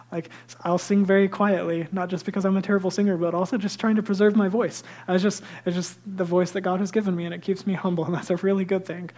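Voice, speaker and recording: A man with a high, light voice preaching a sermon as a pastor, telling stories lively and dropping into hushed asides. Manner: lively storytelling that drops to hushed, near-whispered asides; self-deprecating and conversational.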